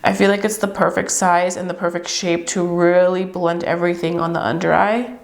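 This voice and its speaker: A woman's voice: fairly low pitch, flat delivery, fairly quick, creaky. Young woman, stuffy congested voice, beauty vlogger, chatty, upbeat, gushing. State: congested, stuffy-nosed from a cold. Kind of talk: casual product review to camera.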